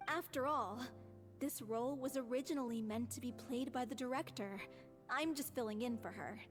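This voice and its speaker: A woman's voice: fairly high pitch, normal speed, lightly acted. A woman voicing an earnest, resolute young stage actress who speaks sincerely and politely in a video game. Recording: long reverb, music underneath.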